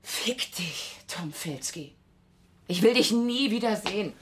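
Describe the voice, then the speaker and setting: A woman playing a scornful, embittered ex-partner, sarcastic and blunt with a husky voice, in a radio crime drama. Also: medium pitch, expressive, takes it slowly, a crowd in the background. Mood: bitter, cold.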